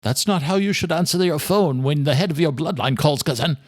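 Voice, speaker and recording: A man's voice: on the high side, fairly quick, no room sound, clean studio sound, voice pushed up, natural voice. Audiobook role: scheming vampire nobleman, played by a man. Haughty, commanding, arrogant, power-hungry, closely recorded. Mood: imperious, scheming. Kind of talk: audiobook character voice.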